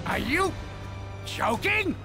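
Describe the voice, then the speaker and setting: An actor voicing a flamboyant, boastful alien villain captain in an anime game, with theatrical, gloating delivery. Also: male voice, very high voice, sing-song, slow, music in the background, full character voice. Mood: wary, probing. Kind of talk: anime game dub acting.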